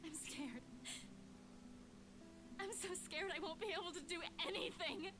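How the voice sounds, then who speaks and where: Scared young woman in a horror game, super high voice, shaky and breathy, music playing behind. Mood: frightened. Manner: trembling, hushed, halting. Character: terrified young woman hiding from a presence. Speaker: game character.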